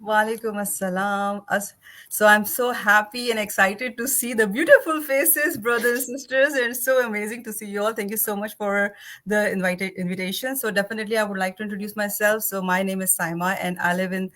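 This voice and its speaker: A woman's voice: medium pitch, fairly even intonation, a little fast, fairly bright tone. Chatty woman from Pakistan on a video call. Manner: chatty, many fillers and restarts, upbeat. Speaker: panel guest, physician and clinical researcher. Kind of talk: casual webinar panel talk.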